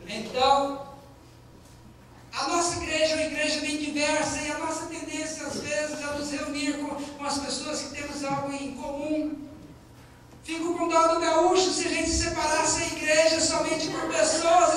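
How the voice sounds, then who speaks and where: A man's voice: extremely high pitch, level tone, moderate pace, fairly bright tone. A man with a Minas Gerais Brazilian accent, preaching a sermon as pastor in a conversational way and stressing key lines. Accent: Minas Gerais Brazilian Portuguese. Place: church sanctuary. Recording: very echoey church hall, distant mic.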